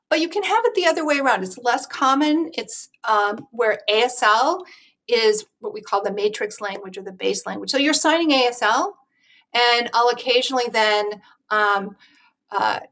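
A woman's voice: mid-range voice, vocal fry, fairly bright tone. A woman researcher explaining her field in an interview, thoughtful, with many fillers and restarts. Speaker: researcher guest.

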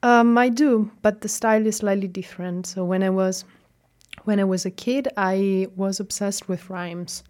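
A young woman with an Italian accent, Italian her first language, speaking as a guest in a casual interview, reflective and hesitant with many ums and soft laughs. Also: medium pitch, steady tone, normal speed. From Italy.

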